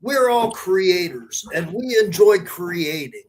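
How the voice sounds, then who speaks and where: A man's voice: high voice, lively intonation, takes it slowly, poor audio. A man hosting a casual live podcast, with a showy, announcer-like way of talking. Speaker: podcast host.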